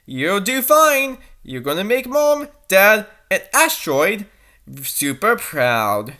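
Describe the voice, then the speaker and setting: A woman as a high, encouraging brother. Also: very animated, slow, bright, forceful tone, full character voice. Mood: caring.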